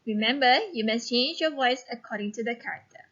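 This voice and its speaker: A woman teacher reading a story aloud and giving instruction in a deliberate, instructive manner. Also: fairly high pitch, fairly bright tone, muffled recording.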